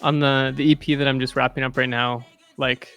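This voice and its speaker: A man's voice: medium pitch, flat delivery, a little fast, creaky, fairly bright tone. A man with a mid-range voice chats casually about his music. He is talkative and easygoing, peppering his speech with "dude" and "like". Faint music sits in the background. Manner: chatty, says "dude" and "like" a lot. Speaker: music producer. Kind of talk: casual chat on a livestream.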